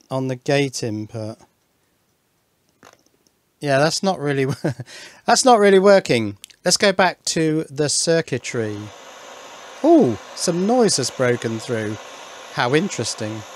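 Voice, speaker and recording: A man's voice: a little high, no echo. A man giving casual workbench commentary as an electronics hobbyist, thinking aloud and questioning himself as he goes. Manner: musing, self-questioning, muttering asides. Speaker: electronics hobbyist video maker. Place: indoors at a workbench.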